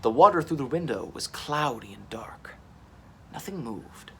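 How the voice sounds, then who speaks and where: A man reading a children's story aloud in an expressive, dramatic storytelling manner. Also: medium pitch, unhurried, vocal fry, slight background noise. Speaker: storytime reader. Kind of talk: animated children's audiobook-style storytelling.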